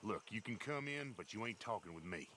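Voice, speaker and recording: Surly guard, scornful, suspicious, bright hard-edged voice, faint noise behind, video game. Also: male voice, fairly low pitch, animated, brisk. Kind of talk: video game cutscene acting.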